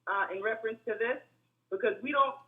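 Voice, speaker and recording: A woman calls in over a thin, phone-like line. Her voice is monotone and a bit hard-edged, and it sits somewhat high. She speaks earnestly and keeps a steady, measured pace. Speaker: caller. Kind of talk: phone-in comment.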